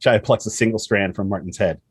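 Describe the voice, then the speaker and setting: A man narrates the stage directions of a script. His voice is fairly low and husky. He reads the action dramatically, in short, punchy phrases. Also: brisk, dry recording, clean studio sound. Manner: punchy, present-tense action reading.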